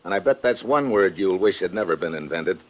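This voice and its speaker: An actor playing a tough, wry investigator in a 1950s radio drama, with sardonic, clipped delivery. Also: male voice, deep voice, lively intonation, fairly quick, rough recording. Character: tough, wry male investigator narrating his adventure. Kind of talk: hard-boiled 1950s radio drama acting.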